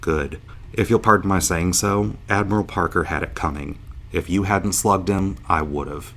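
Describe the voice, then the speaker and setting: A man's voice: sits low, moderate pace, a bit bright, a little hiss or hum. A man playing a dazed, wisecracking starship officer in an audio drama, blunt and sardonic. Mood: confused, blunt. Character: dazed, wisecracking male starship officer waking from long stasis.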